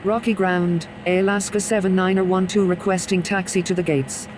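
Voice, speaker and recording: A man's voice: high voice, fairly even intonation, moderate pace, background noise, close to an everyday voice. A man playing a businesslike airline pilot delivering procedural radio calls in a game.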